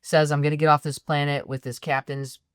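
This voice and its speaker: Ranting, exasperated woman with a lowish voice. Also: fairly quick, clean tone, dry recording, studio quality. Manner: exasperated, ranting, animated complaints. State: voice getting raspy. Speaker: podcast co-host. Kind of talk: casual film critique chat.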